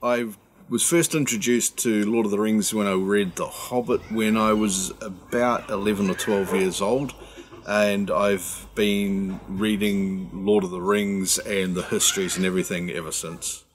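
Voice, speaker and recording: A man's voice: lowish voice, fairly even intonation, unhurried. A man from Wellington, New Zealand, speaking plainly in an interview.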